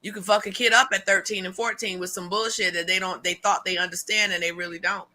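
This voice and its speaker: A woman's voice: mid-range voice, fairly even intonation, quick pace, pushed and bright. A woman with a New Jersey accent co-hosting a casual chat, blunt and joking, with some swearing. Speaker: podcast co-host.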